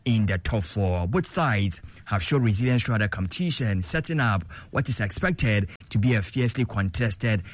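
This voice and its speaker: A man presenting sports news in a steady, formal, scripted delivery. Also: fairly low pitch, normal speed, few pauses, dry recording.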